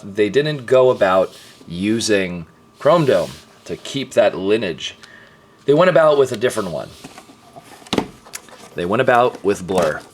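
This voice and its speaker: A hoarse, tired man hosting a casual toy review, chatting along and often clearing his throat. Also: on the low side, slight background noise. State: hoarse, voice partly lost. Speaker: toy reviewer and channel host.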